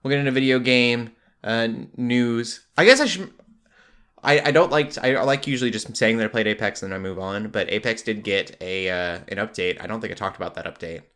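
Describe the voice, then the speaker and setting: Male podcast co-host, lowish voice, loose conversational delivery, thinks aloud with some rambling. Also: brisk, fairly bright tone. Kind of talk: casual gaming news chat.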